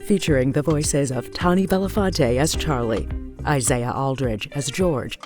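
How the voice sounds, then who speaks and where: Low-key female drama narrator over music. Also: a little slow. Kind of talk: scripted narration and closing credits. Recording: music behind the voice.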